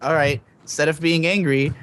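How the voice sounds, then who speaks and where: A man's voice: on the high side, fairly even intonation, normal speed, a bit bright, a little hiss or hum. A man in casual podcast chat, explaining things in candid, rambling detail. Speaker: podcast guest panelist.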